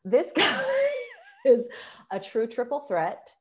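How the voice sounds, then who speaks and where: A woman's voice: on the high side, very animated, slow. A woman hosting a casual, playful interview over a video call through an earphone mic. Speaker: interview host. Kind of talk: casual chat show interview. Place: small plain room indoors, video call. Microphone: wired earphone mic.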